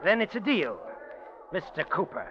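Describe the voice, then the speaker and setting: A scheming, menacing killer. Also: male voice, high voice, huge pitch swings, moderate pace, over loud music. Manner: hesitant with fillers, then cold and quiet. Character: scheming murderous man, hesitant then menacing. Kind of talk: 1940s radio acting. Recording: dull old radio recording.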